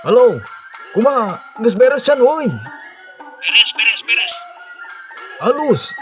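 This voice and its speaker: Animated, high-pitched Sundanese-accented man. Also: slow, faint music behind. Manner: coarse, colloquial, animated. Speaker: voice performer of a parody cartoon. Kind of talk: comic character dialogue dubbing.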